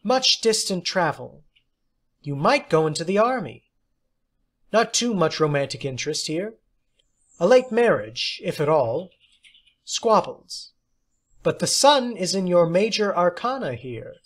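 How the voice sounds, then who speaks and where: A man's voice: sits high, big pitch swings, pitched above the performer's own voice, exaggerated voice. A man voicing an eccentric woman schoolteacher who tells fortunes, dreamy and portentous, in an audiobook story. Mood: airy, musing. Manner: theatrical, deliberate, portentous. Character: eccentric woman teacher telling children's fortunes, dreamy, eerie.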